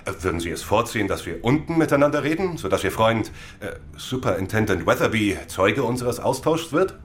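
An actor playing a smooth-talking, vain, evasive sea captain in a radio crime drama, with a grandiloquent, hedging manner. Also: male voice, lowish voice, big pitch swings, brisk, a little hiss or hum. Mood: suave, ingratiating. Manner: grandiloquent, chuckling, hesitant hedging.

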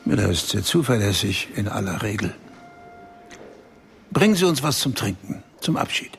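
A self-assured banker in a radio play, barking clipped orders with a gravelly edge and a lively, swinging delivery. A faint hiss sits under the voice. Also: male voice, fairly low pitch, normal speed. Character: self-important German banker, brisk and boastful. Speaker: banker at a summit.

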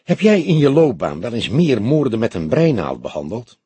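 A man voicing an earnest young police detective in an audiobook, husky and matter-of-fact, with an inquisitive manner. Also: mid-range voice, wildly swooping pitch, normal speed, dark tone, dry recording, full character voice. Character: young male police detective, earnest, slightly teasing sidekick. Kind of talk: acted dialogue in an audiobook.

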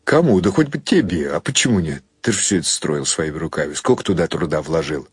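A man playing a tipsy, wealthy boss in a radio play, talking in a halting, offhand and condescending way. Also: extremely low pitch, lively intonation, slightly rough, no room sound, clean studio sound, exaggerated voice. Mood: patronizing.

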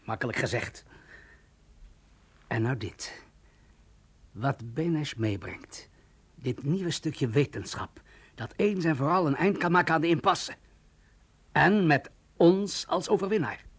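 An actor playing an anxious, cynical security chief in a radio drama, with a nervy, emphatic delivery. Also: male voice, a little high, lively intonation, takes it slowly, long pauses, breathy edge, heavily acted. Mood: worried, brooding. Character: anxious male security chief, wound tight, cynical strategist.

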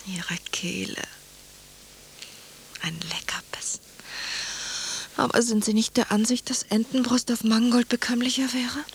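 A woman's voice: a little high, fairly even intonation, measured pace, pauses often, a little hiss or hum. An actress playing a wilful, languid woman in a flirtatious affair, speaking formal, stylised lines in a German radio play. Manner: formal, poised, stylised diction.

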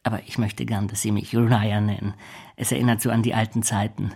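A scheming clerk with a creaky, husky male voice. He oozes false humility and servile gratitude, gushing obsequiously in the manner of literary radio drama. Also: lowish voice, flat delivery, brisk, a little mellow. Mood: sly false humility.

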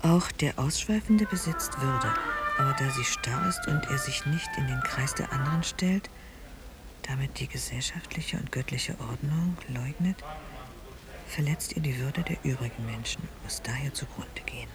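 An actress in a radio play delivering grave, formal commentary like a lecture. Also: female voice, very deep voice, moderate pace. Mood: didactic, grave. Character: stern female commentator pronouncing on opera morals. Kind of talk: essayistic recitation in a radio play. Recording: music behind the voice.

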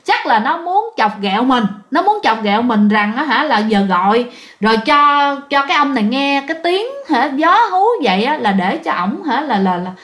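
A woman with a Southern Vietnamese accent voicing an uncle's loud, grumbling male drinking buddy in exclamatory, repetitive, colloquial speech for a spoken story. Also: high voice, lively intonation, moderate pace. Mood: annoyed.

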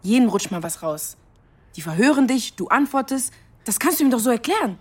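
An actress playing a young racer's girlfriend in a radio drama, blunt and colloquial, with a slightly husky voice. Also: a little high, expressive, fairly quick, darkish tone. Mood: exasperated, dismissive. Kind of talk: naturalistic radio drama acting.

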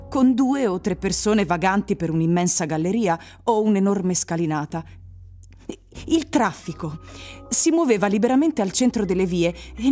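A woman with a mid-range voice narrates a literary radio drama. Her delivery is measured, shaped as storytelling. Soft music plays beneath her words. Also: mid-range voice.

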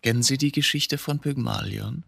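A middle-aged man in a radio drama, an embittered court reporter under suspicion. His mid-pitched voice is brooding and agitated, full of rhetorical insistence. The recording is dry and close. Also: normal speed, gravelly, fairly bright tone, no room sound. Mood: brooding, probing. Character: embittered 47-year-old male court reporter, murder suspect. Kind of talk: German radio drama acting.

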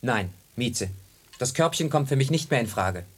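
An earnest, romantic tomcat in a radio play. The voice is husky and swings widely in pitch. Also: male voice, animated, normal speed. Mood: resolute, pleading. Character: earnest male cat, homebody turned island romantic. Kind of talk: radio drama acting.

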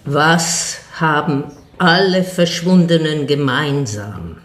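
A woman's voice: low voice, animated, fairly bright tone, echoey room, heavily acted. An actress playing a joking, squeamish village choir woman in a radio play. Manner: joking, dry, unhurried.

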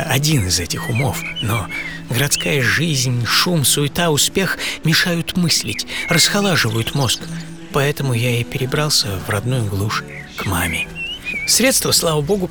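A man's voice: mid-range voice, normal speed, natural voice. A man playing a witty, self-assured philosopher in his forties, musing aloud in a first-person radio play with an ironic, theatrical manner. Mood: wry musing. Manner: ironic, theatrical, swinging from musing to excited exclamation. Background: music.

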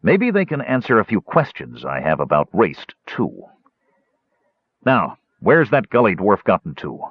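A man voicing a big, weary male warrior who speaks in gruff mutters. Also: on the low side, wildly swooping pitch, unhurried, no echo, full character voice. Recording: dull, dry lo-fi recording.

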